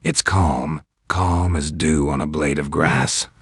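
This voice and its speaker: A man voicing a grim, solemn male wanderer with a soft, pillowy voice who speaks curtly. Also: rumbling low, steady tone, takes it slowly, husky, dry recording, studio quality, exaggerated voice. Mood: stern. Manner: curt, terse.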